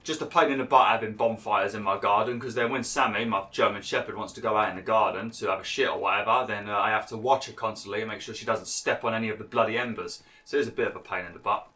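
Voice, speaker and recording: A young man doing chatty, rambling gameplay commentary, a little hoarse and nasal from being ill. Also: on the low side, steady tone, quick pace, hardly any pauses, bright, forceful tone. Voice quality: slightly nasal, froggy throat. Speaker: gaming YouTuber.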